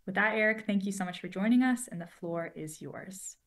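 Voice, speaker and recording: A woman hosting a webinar, reading clear, formal opening announcements. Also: mid-range voice, fairly quick. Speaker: webinar host. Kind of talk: scripted introduction.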